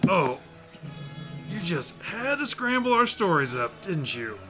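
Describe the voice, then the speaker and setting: A man playing a dutiful, deadpan, long-suffering field agent with dry delivery, in a comic audio drama. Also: sits high, a little slow. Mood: exasperated. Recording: music underneath.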